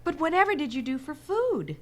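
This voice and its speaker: An actress playing a kind, curious mother who asks quick, concerned questions. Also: sits high, sing-song.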